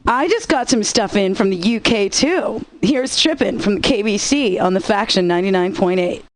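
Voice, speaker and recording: A woman's voice: medium pitch, animated, normal speed, no echo, close to an everyday voice. A woman playing a sassy, teasing rock radio DJ who reads song intros in dry, scripted banter.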